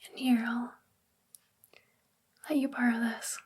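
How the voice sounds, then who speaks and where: A woman playing a sweet, bookish witch librarian in a soft spoken ASMR roleplay, gentle and soothing in a breathy, whispery voice. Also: on the high side, little pitch movement, takes it slowly, slight background noise. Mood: kind, reassuring.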